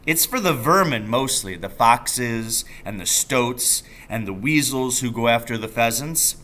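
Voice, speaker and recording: A roguish, enthusiastic storybook father, read out by a man. He tells his tales with emphatic relish, in a bright voice that moves animatedly up and down. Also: medium pitch, animated, a little slow.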